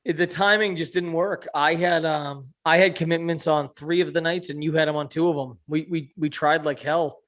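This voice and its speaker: A man co-hosting a podcast in casual, joking chat, tired and a little hoarse. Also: on the high side, fairly even intonation, moderate pace, hardly any pauses, fairly bright tone. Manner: joking, rambling explanations. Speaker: podcast co-host.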